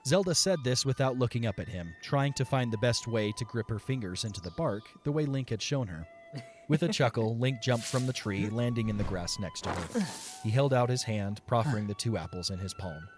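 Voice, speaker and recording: A man's voice: medium pitch, expressive, a little fast. A man reading scripted story narration for a fantasy audio drama. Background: soft music.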